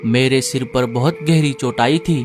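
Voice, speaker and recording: A man's voice: medium pitch, unhurried, music in the background, close to an everyday voice. A man voicing an apologetic, reassuring husband bird in a children's cartoon, earnest and explanatory.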